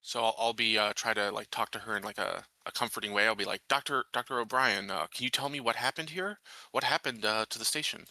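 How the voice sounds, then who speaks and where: Dude playing tabletop rpg, really bright punchy voice, dry mic, thinking his moves through out loud. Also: fairly low pitch, fast, no room sound. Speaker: tabletop roleplaying player. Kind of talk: casual game talk.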